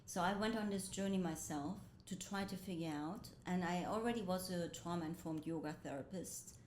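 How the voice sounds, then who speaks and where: A woman who grew up between Indonesia, Germany and the US, telling her life story as a guest in a reflective way. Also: normal speed. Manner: reflective, frequent soft laughs. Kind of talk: personal storytelling in conversation. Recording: roomy echo with faint rumble.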